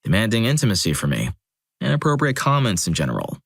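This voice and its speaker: A man narrating a compilation of first-person stories in a steady, scripted reading, with dry, wry asides. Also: fairly low pitch, quick pace, vocal fry, no room sound.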